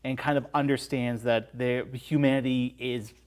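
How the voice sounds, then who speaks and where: Guy guesting on a film podcast, average pitch, chatty, explains stuff. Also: flat delivery, normal speed. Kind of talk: conversational film analysis.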